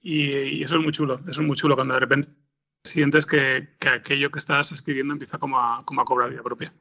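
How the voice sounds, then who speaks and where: A man giving informal interview answers as a scriptwriter, haltingly, repeating words as he thinks, with a gravelly, husky voice. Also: medium pitch, flat delivery, sharp tone, muffled recording. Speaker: podcast scriptwriter being interviewed.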